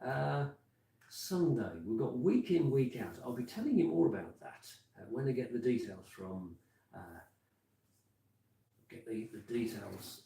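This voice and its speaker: A man's voice: mid-range voice, expressive, unhurried, creaky, mellow, slight background noise. A man in his fifties with a Birmingham accent, presenting live radio in a casual, rambling way, full of fillers and restarts. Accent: Birmingham (Brummie). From Birmingham, England. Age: 50s. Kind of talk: casual live radio chat with song links. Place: community radio studio. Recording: echoey room, mic at a distance. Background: music playing.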